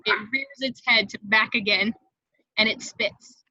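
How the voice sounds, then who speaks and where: A woman's voice: fairly high pitch, fairly even intonation, a little slow, bright, forceful tone, dull audio. A woman reading scripted stage directions as the narrator of a table read, in a vivid storytelling manner.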